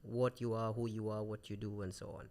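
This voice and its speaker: A man's voice: fairly low pitch, level tone, a little fast, darkish tone, a little hiss or hum. A man hosting a podcast, welcoming his guest and asking questions in a friendly, encouraging way. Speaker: podcast host. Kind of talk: casual interview questions. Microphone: studio podcast mic.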